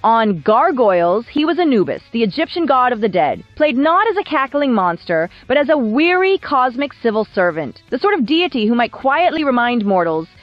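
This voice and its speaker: Casting: female video essay narrator, wry and polished, lively high voice, recorded dry over background music. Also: normal speed, hardly any pauses, dull audio. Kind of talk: scripted documentary narration.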